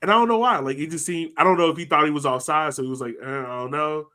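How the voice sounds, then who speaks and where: A man's voice: fairly high pitch, fast, pushed and bright. Casual man hosting a soccer podcast. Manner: loose, lots of likes and restarts. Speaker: podcast host.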